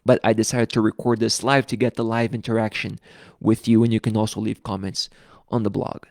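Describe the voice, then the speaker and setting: A man from Brazil speaks with a Brazilian accent in a low voice with some gravelly fry, chatting openly to his live viewers and often restarting his sentences. The sound is dry and close, with almost no room around it. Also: little pitch movement, a little fast, darkish tone, no room sound. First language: Portuguese. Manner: open and conversational, with frequent restarts. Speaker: host of a live book-reading series. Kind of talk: casual live-stream talk.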